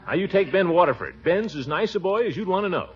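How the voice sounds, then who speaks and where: An actor playing a pompous, blustering middle-aged uncle in a 1940s radio comedy, exasperated and loud. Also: male voice, animated, fast, husky, exaggerated voice. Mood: grumbling. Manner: blustery, exasperated, swooping pitch. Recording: dull old radio broadcast with hiss.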